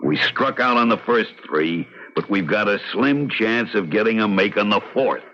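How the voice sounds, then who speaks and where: An actor playing a gruff, weary, sardonic New police lieutenant in a radio drama, barking slangy orders. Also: male voice, lowish voice, moderate pace. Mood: commanding. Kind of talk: hard-boiled radio drama acting. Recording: dull, noisy old radio recording.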